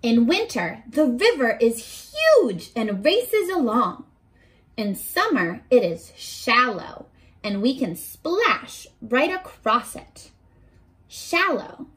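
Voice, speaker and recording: A young woman with a high voice reads aloud like a primary teacher. Her pitch swings expressively and she takes her time, speaking directly to small children as she goes through a picture book. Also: very animated, slow pace, a bit bright, rough recording. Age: 20s. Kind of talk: children's read-aloud with simple questions to listeners. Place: small furnished bedroom, indoors.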